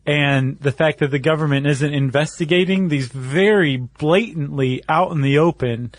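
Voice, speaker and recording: A man's voice: a little high, normal speed, no room sound. A man co-hosting a podcast, explaining things step by step in casual conversation. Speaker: podcast co-host.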